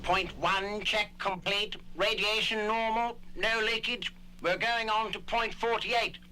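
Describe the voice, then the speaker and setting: A man's voice: sits high, fairly even intonation, slow, pushed and bright, a little hiss or hum, full character voice, low-quality recording. An actor playing a dutiful male astronaut, radioing in routine checks through a filter in a record drama. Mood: dutiful, procedural. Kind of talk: 1970s record audio drama acting.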